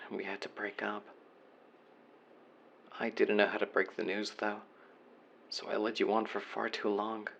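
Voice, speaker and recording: A man acting out a remorseful young man in a voicemail roleplay, speaking low with a gravelly fry. His delivery is earnest and confessional, staying flat and subdued, over faint background noise. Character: remorseful young man apologizing to his former best friend. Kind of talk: scripted audio roleplay, voicemail monologue.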